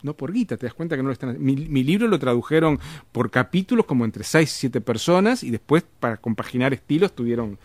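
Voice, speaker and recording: A man's voice: medium pitch, animated, fast talker, no room sound. A man with an Argentine Spanish accent, telling stories in casual conversation, rambling with frequent restarts and tag questions. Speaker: interviewed author.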